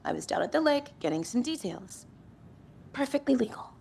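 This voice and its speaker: A woman's voice: fairly high pitch, big pitch swings, normal speed, gravelly, darkish tone, slight background noise. A woman playing a brash true-crime writer in a video game, sardonic and defensive in her delivery. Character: brash, wry female true-crime writer from New York. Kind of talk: naturalistic video game acting.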